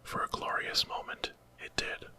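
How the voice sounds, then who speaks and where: Man, whispered narration, ASMR horror tale, breathy, very bright, dramatic. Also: a little slow. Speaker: storyteller.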